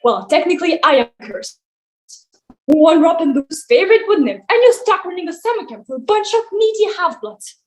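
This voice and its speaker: A woman's voice: very high-pitched, very animated, normal speed, a little mellow, rough recording. A woman playing a jaded, sarcastic male wine god who runs a summer camp, in a weary, theatrical table read. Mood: exasperated. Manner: sardonic, weary, theatrical complaining.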